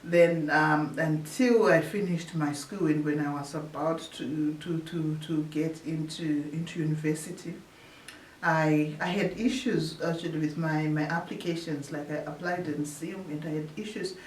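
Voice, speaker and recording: A woman from Zimbabwe, a researcher telling her story in an interview, often pausing and restarting her phrases. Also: sits low, steady tone. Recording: echoey room, distant mic, faint hiss.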